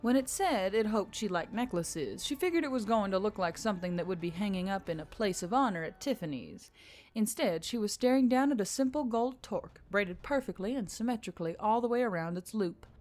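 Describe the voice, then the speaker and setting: A woman's voice: mid-range voice, lively intonation, fairly quick, darkish tone, faint music behind. A woman reading scripted fiction as a dramatic narrator. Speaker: story narrator.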